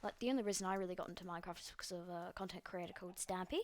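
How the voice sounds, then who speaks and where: A woman's voice: fairly low pitch, very fast. Rambling young Australian woman gamer. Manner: rambling, full of ums and likes, swears freely. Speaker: gaming video creator. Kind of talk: casual gameplay commentary.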